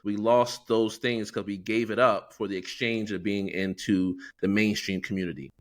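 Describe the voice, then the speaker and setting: A man's voice: on the low side, fairly even intonation, moderate pace. A middle-aged American man co-hosting a podcast, talking earnestly and reflectively with plenty of fillers. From United States. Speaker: podcast co-host. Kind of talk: casual podcast discussion.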